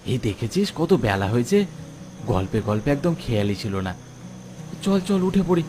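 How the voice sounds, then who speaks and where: A man's voice: mid-range voice, sing-song, moderate pace, noisy background, lightly acted. A man voicing a friendly, easygoing young village boy in a cartoon. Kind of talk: cartoon voice acting.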